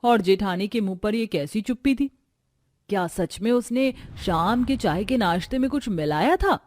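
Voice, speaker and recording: A woman's voice: mid-range voice, lively intonation, normal speed, darkish tone. A woman narrator reading a scripted storybook tale for a cartoon.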